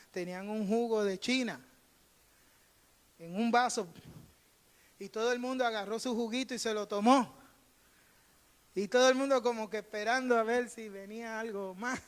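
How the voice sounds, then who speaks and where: Puerto rican guy pastor giving a sermon, kinda high voice, storytelling and emphatic, long hall reverb. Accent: Puerto Rican Spanish. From Puerto Rico. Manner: emphatic, repeats phrases for emphasis, acts out dialogue. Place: church sanctuary during a live service. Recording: reverberant hall, live church service.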